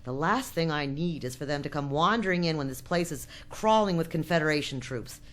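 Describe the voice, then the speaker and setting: Low-voiced, shrewd outpost boss. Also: female voice, expressive. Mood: tense, secretive. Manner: brisk, commanding, guarded. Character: shrewd, bossy woman running a frontier outpost. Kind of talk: radio drama dialogue.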